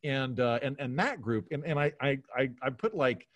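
A man's voice: medium pitch, animated, normal speed, no room sound, muffled recording. A middle-aged American man chatting casually and giving his opinions as a podcast guest on a video call. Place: home room on a video call.

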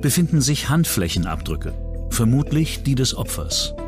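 A man's voice: medium pitch, sing-song, moderate pace, darkish tone. Male true-crime narrator over prominent music. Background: background music.

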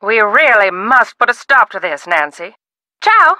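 A secretive, theatrical old film star from a mystery game. She speaks in a grand, teasing way with bright tone and very animated pitch. The sound is dry and close. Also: unhurried, no echo, heavily acted. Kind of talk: video game voice acting.

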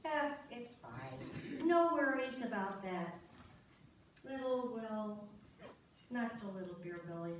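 A woman playing a devoted, sentimental middle-aged wife in a staged play reading. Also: mid-range voice. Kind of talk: staged reader's theater acting. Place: church sanctuary stage, far camera. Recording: very echoey church hall, far off, clear noise.

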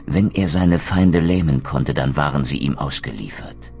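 Very deep brooding undead wraith. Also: male voice, creaky, a little mellow, soft background music. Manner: solemn, literary, measured. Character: brooding undead vampire wraith, noble-born, narrating his quest. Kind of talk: scripted dramatic game narration.

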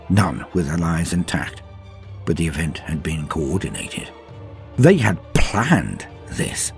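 A man narrating a scripted story in a dramatic, grave, measured manner with pauses for effect, his voice husky. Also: fairly low pitch, darkish tone. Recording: music behind the voice. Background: background music.

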